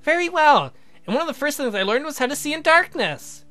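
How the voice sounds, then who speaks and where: A man's voice: extremely high pitch, big pitch swings, a little fast, pushed and bright, faint music behind, voice pushed up, full character voice. A man voicing a willful young lady in a visual novel, the adoring bride of a vampire, sweet, eager and self-assured. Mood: playful. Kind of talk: voiced visual novel dialogue.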